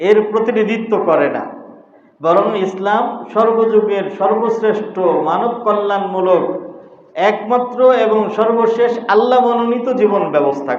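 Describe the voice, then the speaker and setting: A male preacher delivers a religious sermon in a high voice. He is emphatic and instructive, pausing for weight and putting questions to his listeners. The sound is muffled and echoes heavily around a hall. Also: slight background noise. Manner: emphatic, didactic, deliberate pauses with rhetorical questions. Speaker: preacher, Islamic scholar. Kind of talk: Bengali waz sermon to a congregation.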